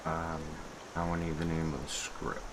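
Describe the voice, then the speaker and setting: A man's voice: very deep voice, flat delivery, moderate pace, music in the background. A man muttering to himself in short fragments, giving casual commentary as he works at a computer. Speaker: game modder streaming their work. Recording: noisy room hiss with keyboard typing.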